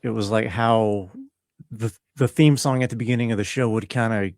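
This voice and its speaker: A middle-aged man chatting casually as an interview guest, thoughtful and halting as he searches for words. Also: fairly low pitch, steady tone, darkish tone, dry recording, studio quality. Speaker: interview guest, composer and audio engineer. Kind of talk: casual reflective chat.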